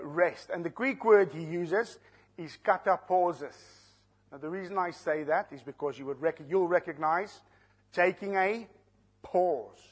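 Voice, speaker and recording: Husky-voiced Afrikaans-speaking male preacher. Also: a little high. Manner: slows and stresses key words, asks the audience questions. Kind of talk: expository Bible teaching.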